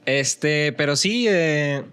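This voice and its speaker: A Mexican man hosts a casual podcast in a fairly high voice. His pitch moves in a very animated way, while his phrasing is informal and a little hesitant. There is music behind him. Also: very slow, clean tone. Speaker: podcast host. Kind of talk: casual chat.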